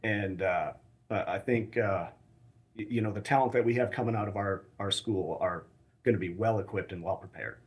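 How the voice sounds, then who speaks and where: American man, measured and hesitant expert guest. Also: fairly low pitch. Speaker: assistant professor of air traffic management, expert guest. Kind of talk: live news interview answers.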